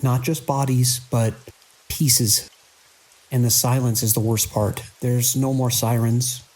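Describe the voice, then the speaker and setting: An actor playing a beleaguered, idealistic hospital director in a horror audio drama, speaking earnestly and urgently in halting phrases. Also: male voice, mid-range voice, fairly even intonation, a little mellow, slight background noise, close to an everyday voice, low-quality recording. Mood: shaken, haunted.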